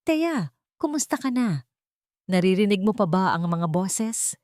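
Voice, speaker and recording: A woman's voice: mid-range voice, sing-song, measured pace, darkish tone, dry recording, studio quality. A woman voicing a scheming female doctor with a sugary, condescending manner. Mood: falsely kind.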